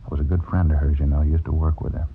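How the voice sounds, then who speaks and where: A man's voice: a bass voice, level tone, fast talker. A man playing an eager, stubborn old circus hand in a radio drama, with folksy, rambling, slangy speech. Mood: eager, confiding. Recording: dull, faintly noisy vintage radio recording.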